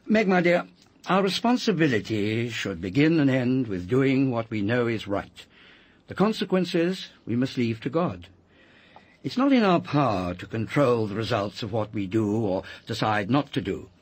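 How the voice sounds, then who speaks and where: Husky, kindly clergyman. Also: medium pitch, very animated, normal speed. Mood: firm, paternal. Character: kindly, devout clergyman counselling with firm conviction. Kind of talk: radio drama acting.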